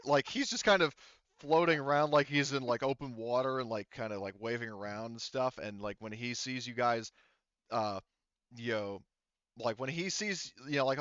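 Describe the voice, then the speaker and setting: A man's voice: medium pitch, fairly bright tone, clean tone, dry recording. A man working as a game master, narrating a tabletop game off the cuff in a casual, rambling way over a voice call. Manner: rambling, casual, frequent hedging. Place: online voice call. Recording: dull lo-fi voice call.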